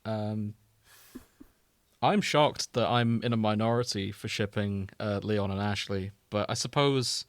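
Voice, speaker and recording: A man's voice: fairly low pitch, a little slow, no room sound. A man hosting a podcast in a casual, conversational style. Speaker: podcast host. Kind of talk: casual chat.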